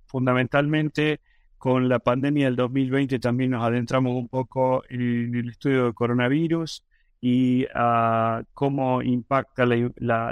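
A middle-aged man with an Argentine accent answers questions as a guest expert. He hesitates often and restarts his sentences, and his voice sits at an ordinary pitch. The recording is dry and close. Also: clear, resonant tone, no echo. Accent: Argentine Spanish. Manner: thoughtful, many hesitations and restarts. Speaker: virology professor, guest. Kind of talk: interview answers.

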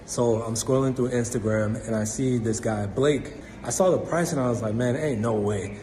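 A man with a mellow, dark-toned voice at a medium pitch gives a casual customer testimonial. Faint noise and ambience sit behind him. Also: normal speed, rough recording.

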